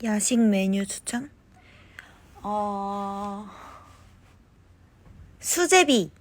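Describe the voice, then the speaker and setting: Slow, soft-spoken young woman. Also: mid-range voice. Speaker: idol livestreaming to fans. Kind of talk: casual chat reading fan comments. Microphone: phone.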